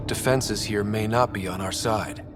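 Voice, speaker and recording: An actor playing a determined, commanding young leader of space explorers in a video game. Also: male voice, lowish voice, fairly even intonation, unhurried, lightly acted. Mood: wary focus. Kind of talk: video game dramatic acting. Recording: loud game music behind voice.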